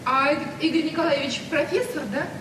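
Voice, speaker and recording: A woman's voice: high voice, quick pace, far from the mic, lightly acted. An actress playing a breezy, curious teenage daughter, girlish and cheeky, in a 1970s Soviet radio play. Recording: noisy, very echoey old radio recording.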